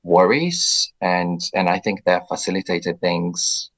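A man from Brazil with a Brazilian accent, a guest on a radio interview, answering in a reflective, measured way with soft fillers. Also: low voice, lively intonation.

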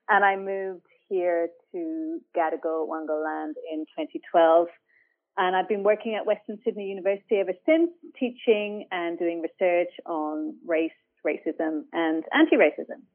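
Woman from ireland on a phone line, lowish voice, calm and explaining stuff like a lecturer. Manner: measured, thoughtful, explanatory. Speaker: academic guest. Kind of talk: radio interview, explaining. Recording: phone-line remote interview.

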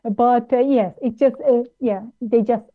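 A woman from Iran, raised in Austria, speaking as an interview guest in a halting way with many fillers and restarts. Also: on the high side, steady tone. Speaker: guest, plant-based nutritionist. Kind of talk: interview conversation. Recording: tinny phone-like line.

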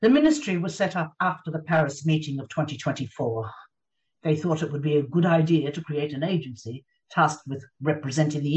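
A measured female official in a radio drama, played by a woman. She explains things with sane, bureaucratic authority. The sound is slightly muffled and set back from the microphone. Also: low-pitched, a little mellow. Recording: distant mic, slightly dull sound.

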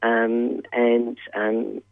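A woman calling in to a radio show, talking in halting phrases with many "um"s. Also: a bass voice, flat delivery, vocal fry, dry recording. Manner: halting, frequent "um"s. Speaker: caller. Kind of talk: phone-in radio chat. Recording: tinny phone line.

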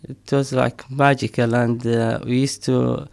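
Man, middle-aged, gravelly, dry close sound, hesitant with fillers. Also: medium pitch, little pitch movement, measured pace, darkish tone, dry recording. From Somaliland. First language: Somali. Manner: hesitant, many fillers, frequent laughs. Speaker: poet and storyteller. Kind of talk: casual interview talk.

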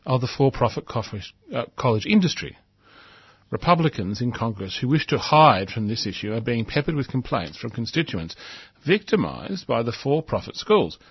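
A man's voice: mid-range voice, breathy edge, dry recording, muffled recording. A man hosting a talk show, reading out a newspaper editorial and adding his own earnest, emphatic comments. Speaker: radio host reading an editorial.

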